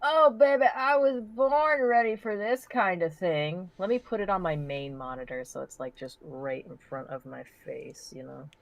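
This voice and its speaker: A woman's voice: on the low side, normal speed, vocal fry, hardly any pauses, no echo, dull audio. A woman co-hosting a livestream with casual, playful banter. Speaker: livestream co-host and reader.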